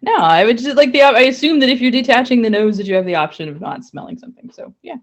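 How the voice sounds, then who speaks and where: A woman's voice: on the high side, expressive, fast, highs cut off. A woman co-hosting a casual podcast, chatty and rambling with frequent laughs. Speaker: podcast co-host. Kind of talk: casual banter.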